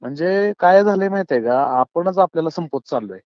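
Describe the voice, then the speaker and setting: Quick, high-voiced rural Maharashtrian man. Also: animated, no echo. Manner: colloquial, earnest, philosophising, often addresses listener as brother. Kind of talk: casual phone chat, opinionated monologue. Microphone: phone call, close and dry. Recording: dull lo-fi phone call recording.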